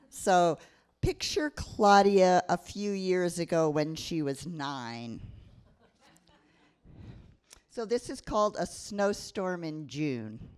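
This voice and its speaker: A woman's voice: low voice, darkish tone, clean tone. A woman writer giving wry, informal remarks at a microphone at a live event before reading her story. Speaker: writer presenting own story. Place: live community event in front of an audience.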